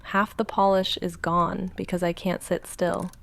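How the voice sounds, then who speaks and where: A woman playing a goth vampire roommate in an intimate ASMR roleplay, soft-spoken and halting, dry and guarded but quietly affectionate, often slipping into near-whisper. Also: lowish voice, little pitch movement, creaky. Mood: playful, casual.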